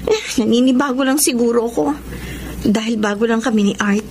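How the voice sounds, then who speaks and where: An actress as a high-voiced, conflicted young woman. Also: a little fast, a little mellow, lightly acted. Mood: defensive. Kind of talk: Tagalog radio drama acting. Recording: noisy radio drama soundtrack.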